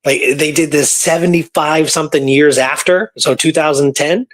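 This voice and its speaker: Higher-voiced man chatting about history. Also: fairly even intonation, moderate pace. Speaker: radio show co-host. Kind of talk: casual history discussion.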